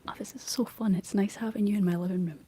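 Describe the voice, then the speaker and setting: A young Scottish woman speaking in a soft, breathy whisper, chatting casually and gently in an ASMR style. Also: mid-range voice, steady tone, fast, a little hiss or hum. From Scotland. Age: young adult. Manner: gentle, rambling chat while painting. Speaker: ASMR streamer reading and answering live chat. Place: living room.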